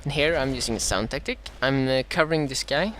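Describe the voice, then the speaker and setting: A man from Sweden with a Swedish accent and a dry throat, casting his own strategy game match in a halting way, full of "uh"s and self-corrections. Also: mid-range voice, fairly bright tone, slight background noise. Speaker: gameplay commentator.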